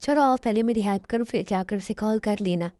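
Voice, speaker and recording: A woman's voice: mid-range voice, brisk, warm tone, no echo, studio quality, lightly acted. Woman voicing a scolding bossy mother.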